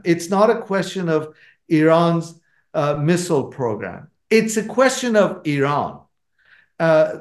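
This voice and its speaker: A man's voice: a little high, echoey room. A man with an Iranian accent, an author answering audience questions at a book talk, speaking emphatically with frequent fillers and restarts.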